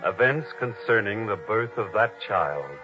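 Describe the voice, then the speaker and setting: A man's voice: on the low side, lively intonation, measured pace. A man narrating a scripted 1950s radio drama in a solemn, formal manner. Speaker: announcer and narrator. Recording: dull old radio recording. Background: music.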